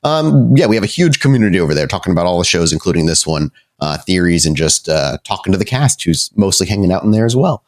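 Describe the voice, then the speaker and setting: A man's voice: sits low, big pitch swings, fast, few pauses. A man hosting and narrating a tabletop role-playing podcast as storyteller, moving between casual chat and cinematic scene-setting. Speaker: storyteller and host.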